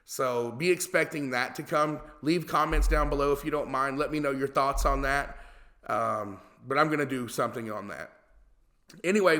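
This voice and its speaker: Bright-voiced casual male host. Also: medium pitch. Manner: chatty, blunt, casual. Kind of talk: casual online show talk.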